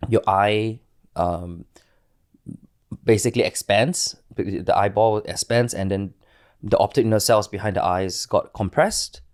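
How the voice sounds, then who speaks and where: Halting Singaporean man, lowish voice. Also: steady tone. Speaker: interview guest. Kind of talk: personal interview, candid.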